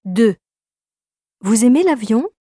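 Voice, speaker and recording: A woman's voice: on the high side, very animated, slow, dark tone, dry recording, clean studio sound. A woman reading scripted announcements for a language course with careful, didactic enunciation. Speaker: textbook audio announcer.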